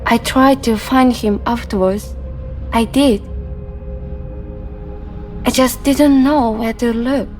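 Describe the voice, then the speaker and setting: An actress playing a wistful, earnest woman longing for a lost love in a video game cutscene, confiding and halting. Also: fairly high pitch, fairly even intonation, darkish tone, soft background music.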